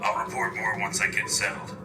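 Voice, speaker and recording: Male frontline reporter role, man acting: low-pitched, deadpan, battle-worn sardonic grit, echoey distant field report with music. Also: brisk, lightly acted. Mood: grim, sardonic. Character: Bulldog, hardened sardonic frontline war correspondent, man. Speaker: field war correspondent. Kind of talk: scripted sci-fi field report.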